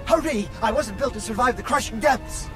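A man's voice: very high-pitched, moderate pace, music in the background. An actor playing a prissy, fretful male protocol droid translator in a video game, speaking formally. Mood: panicked. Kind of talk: scripted video game cutscene acting.

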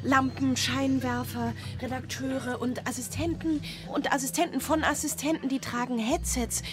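A woman playing a haunted, bewildered single mother in a German radio drama, speaking in measured, literary fragments. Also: high-pitched, steady tone, music in the background, natural voice. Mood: excited.

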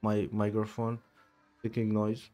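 Iraqi man streaming, low flat voice. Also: measured pace, faint music behind. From Iraq. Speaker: live streamer. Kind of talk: casual gaming chat. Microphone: push-to-talk headset mic.

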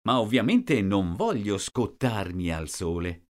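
A man slowly reading out short sentences, each one twice, for a language lesson. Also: on the low side, big pitch swings, darkish tone. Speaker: language teacher. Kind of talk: slow repeated phrase reading.

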